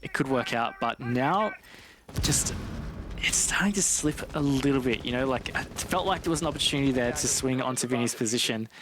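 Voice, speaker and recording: A man with a medium-pitched voice gives live analysis of an esports match. He sounds informal and explanatory, talking it through as if in conversation. Faint noise sits behind his voice. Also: animated, a little fast.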